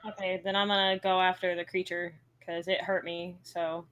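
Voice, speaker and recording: A woman with a lowish voice plays a tabletop game. She talks casually and swears easily, stringing her thoughts together with "like.". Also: flat delivery, moderate pace, a bit bright, clear, resonant tone. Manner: casual, swears freely, says "like" often. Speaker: player. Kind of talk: casual tabletop play over voice chat.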